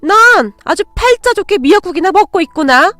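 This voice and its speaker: A woman voicing a harsh, greedy middle-aged mother in a dramatized story, shrill and accusing. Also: sing-song, normal speed, fairly bright tone, no echo, faint music behind, full character voice. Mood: sneering.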